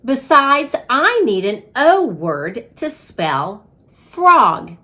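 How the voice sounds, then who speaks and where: A woman puts on a cheeky storybook schoolboy who is pleased with himself. His delivery is playful and declamatory, drawn out slowly with big pitch swings. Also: big pitch swings, bright, forceful tone, full character voice.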